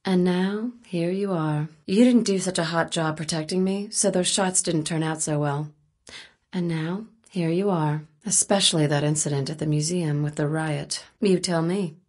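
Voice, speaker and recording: A woman's voice: on the low side, normal speed, a little mellow, slightly rough. An actress playing a cool, wry, self-possessed woman photojournalist and sniper in a video game. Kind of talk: video game voice acting, naturalistic dialogue.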